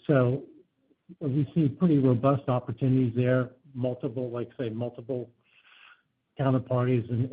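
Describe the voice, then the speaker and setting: Husky-voiced male executive on a phone line. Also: mid-range voice. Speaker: company executive on an earnings call. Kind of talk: unscripted investor Q&A answers. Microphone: conference phone call. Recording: narrow tinny phone line.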